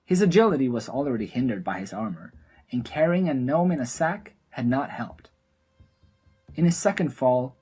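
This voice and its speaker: Very low, husky female narrator. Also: darkish tone. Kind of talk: scripted fantasy story narration.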